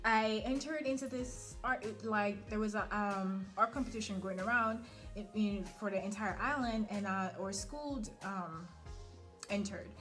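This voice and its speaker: A young woman sharing a personal story in a casual, chatty way, full of fillers and animated retelling. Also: mid-range voice, a little slow, faint music behind.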